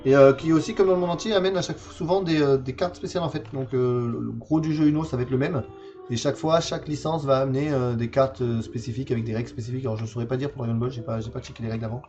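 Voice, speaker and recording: A man's voice: mid-range voice, steady tone, rapid-fire, warm tone, lo-fi sound, faint music behind. A man in his forties chatting casually on a livestream while showing games from his collection, hesitant and full of fillers and repeated words. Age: 40s. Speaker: streamer presenting a game collection.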